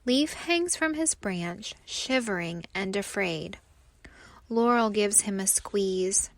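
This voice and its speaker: A woman narrating a children's storybook aloud with expressive, deliberate phrasing. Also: mid-range voice, dry recording.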